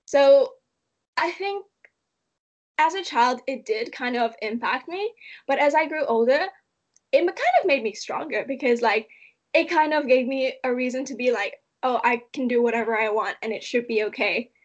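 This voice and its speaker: A woman's voice: sits high, normal speed. A young woman from Malaysia talking casually in an interview, with lots of "like" fillers. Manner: chatty, frequent "like" fillers.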